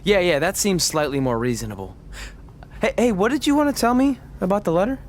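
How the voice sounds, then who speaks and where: Game cutscene role: earnest, goofy male best pal, supportive, wry, very lively intonation. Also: high voice, a little fast. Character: earnest, goofy, supportive young wrestler sidekick. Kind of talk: video game cutscene acting.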